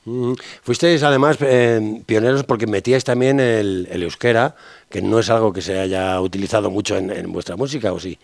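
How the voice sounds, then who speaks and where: A man's voice: fairly low pitch, moderate pace, gravelly, no echo. A man hosting an interview, asking casual questions and chiming in with short friendly affirmations. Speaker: interview host.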